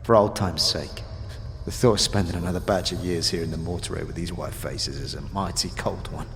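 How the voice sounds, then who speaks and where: A man playing a weary, regretful undead zombie in an audio drama, with a gravelly voice and folksy, slangy speech. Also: low-pitched, fairly even intonation, a little fast, noisy background. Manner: folksy, slangy old-time street talk. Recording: long hall reverb.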